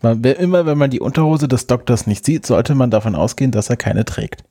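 A man's voice: medium pitch, a little fast, mellow, dry recording, clean studio sound. A man co-hosting a podcast in casual banter with friends, with a wry, joking manner. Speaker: podcast co-host.

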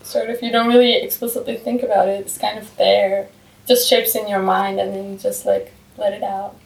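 A woman's voice: medium pitch, little pitch movement, moderate pace, vocal fry. A woman chatting casually on a podcast, rambling and using lots of fillers. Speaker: podcast co-host. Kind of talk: casual discussion. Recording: echoey room, far from the mic.

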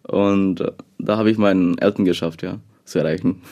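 A young man from Bangladesh, a second-language speaker, answering interview questions haltingly with many fillers. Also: deep voice, steady tone, a little mellow. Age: young adult.

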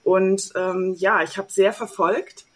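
A woman's voice: medium pitch, steady tone, slow pace, breathy edge. A woman co-hosting a podcast, opening the episode in a chatty, hesitant way with many fillers. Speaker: podcast co-host. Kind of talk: casual conversational interview.

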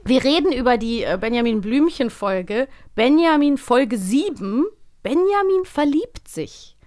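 Playful woman podcaster, highish voice. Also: expressive, a little slow, darkish tone. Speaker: podcast host. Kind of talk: casual chat about children's audio dramas.

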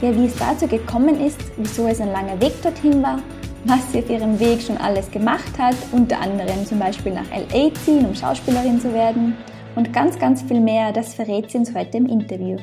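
A woman from Austria welcoming listeners and her guest to a podcast. She speaks with an upbeat, enthusiastic lilt in a slightly high voice. Music runs clearly under her talk. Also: fairly quick. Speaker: podcast host. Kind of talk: podcast interview.

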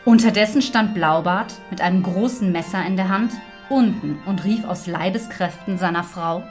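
A woman's voice: mid-range voice, normal speed. A woman storyteller reading a scripted fairy tale narration. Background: music.

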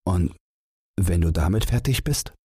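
A man's voice: sits low, lively intonation, measured pace, darkish tone, husky, no room sound, clean studio sound, heavily acted. A man voicing a timid, sad young man down on his luck in a fairy tale audiobook, humble and hesitant. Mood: shy, awestruck.

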